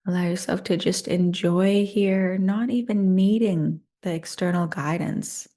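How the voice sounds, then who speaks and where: A woman leading a guided meditation in a soothing, unhurried and gently inviting manner. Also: lowish voice, fairly even intonation.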